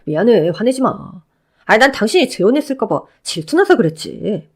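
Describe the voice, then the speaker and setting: A woman's voice: on the high side, big pitch swings, a little fast, breathy edge. A woman voicing a wheedling, self-pitying ex-husband. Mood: pleading. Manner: wheedling, whiny begging. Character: pleading, self-pitying middle-aged ex-husband.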